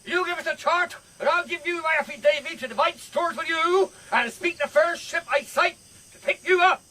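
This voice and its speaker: An actor playing a sly, menacing pirate leader in a radio drama, wheedling and then threatening. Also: male voice, very high-pitched, moderate pace, sharp tone. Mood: bargaining menace. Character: sly, menacing pirate leader with a one-time cook's past.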